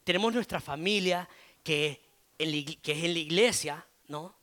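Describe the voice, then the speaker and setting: A man with an Argentine Spanish accent preaching a conversational sermon as a pastor, often pausing on "eh" and asking "¿no?". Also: on the high side, sharp tone. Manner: conversational, frequent filler "eh" and tag "¿no?".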